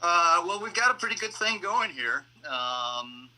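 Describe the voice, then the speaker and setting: A man's voice: high voice, very animated, a little slow, bright, forceful tone, low-quality recording. A man speaking as a guest on an online panel, answering casually in a hesitant, piecemeal way. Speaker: panel guest, theatre radio play producer. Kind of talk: casual discussion, answering questions.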